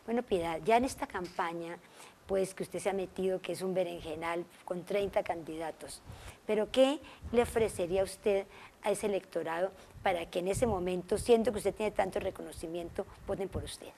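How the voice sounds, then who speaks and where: A woman's voice: on the low side, moderate pace, vocal fry, warm tone, a little hiss or hum. A woman interviewing a guest on a TV program in a conversational way. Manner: conversational questioning.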